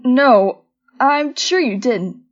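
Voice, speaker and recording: A woman's voice: high-pitched, animated, extremely slow, highs cut off, exaggerated voice. A woman voicing a bold, affectionate female monster with a casual, punchy delivery in a story reading. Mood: startled. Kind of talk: dramatic fan fiction reading.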